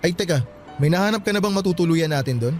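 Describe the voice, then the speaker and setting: A man's voice: on the high side, lively intonation, quick pace, a little mellow, soft background music, lightly acted. An actor playing a playful, teasing young man who grumbles and banters with friends in a Filipino radio drama.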